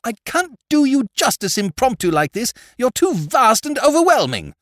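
Man doing a sardonic gentleman rogue. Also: very high-pitched, very animated, normal speed, no room sound, studio quality, voice pushed up, exaggerated voice. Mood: exasperated. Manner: ironic, theatrical, exasperated tirades. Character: witty gentleman ex-thief, exasperated, sardonic, man.

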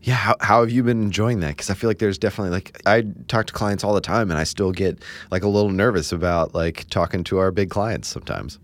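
A man's voice: low-pitched, fast talker, hardly any pauses, dry recording. A man hosting a casual interview, asking long rambling questions full of "like" and "you know". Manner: rambling, chatty questions with many "like"s.

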